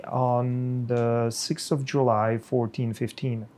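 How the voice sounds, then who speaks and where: A middle-aged man from the Czech Republic with a Czech accent, a pastor speaking slowly and haltingly with many pauses in a podcast conversation. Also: medium pitch, flat delivery, a little mellow, a little hiss or hum.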